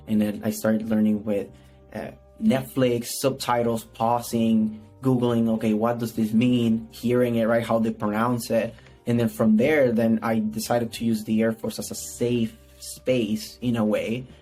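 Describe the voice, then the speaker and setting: A man's voice: on the low side, mellow. A man from Puerto Rico, a native Spanish speaker with a Puerto Rican accent, answering casually as a podcast guest, rambling and often adding "right?". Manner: rambling, repeats words, frequent "right?" tags. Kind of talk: casual conversational interview answers.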